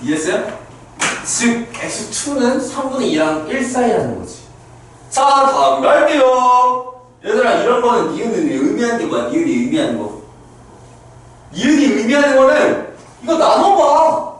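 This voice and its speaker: A man around thirty teaching math to high school students in a classroom, talking them through each step and checking whether they understood. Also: big pitch swings, normal speed. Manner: talks students through steps, asking "understood?" after each point. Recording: very echoey classroom, mic far off.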